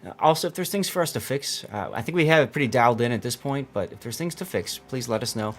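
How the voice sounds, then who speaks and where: A male streamer running a game tournament broadcast, talking loosely to his co-caster and viewers. His voice sits at a middling pitch, and he rambles along with plenty of fillers. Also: lively intonation, fast. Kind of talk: casual stream chat.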